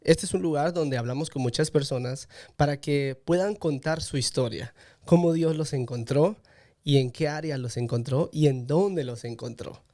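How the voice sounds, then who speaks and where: A man's voice: a little high, moderate pace, dark tone. A man hosting a podcast, interviewing a guest in a conversational style. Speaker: podcast host.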